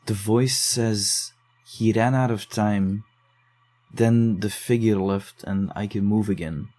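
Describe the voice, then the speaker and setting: An actor playing a dogged, low-key man investigating case files in a horror audio drama, speaking in a subdued, measured way. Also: steady tone, warm tone. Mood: shaken, subdued. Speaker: investigator digging through case notes.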